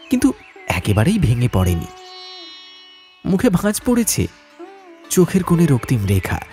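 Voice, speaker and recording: A man's voice: fairly high pitch, darkish tone. A man narrating a scripted ghost story as a dramatic audiobook. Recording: music bed behind narration.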